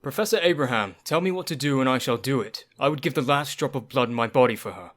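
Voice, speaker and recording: An actor playing an earnest, devoted young Victorian doctor in a radio play, speaking formally. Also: male voice, mid-range voice, expressive, quick pace, fairly bright tone, natural voice. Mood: grave.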